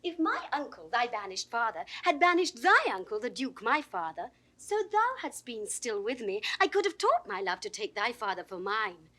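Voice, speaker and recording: A woman's voice: fairly high pitch, sing-song, moderate pace, few pauses, bright, forceful tone, full character voice. An actress playing a merry, devoted young noblewoman in a classic Shakespeare audio drama, playful and articulate. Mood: affectionate, earnest. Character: merry, devoted young duke's daughter, witty and affectionate.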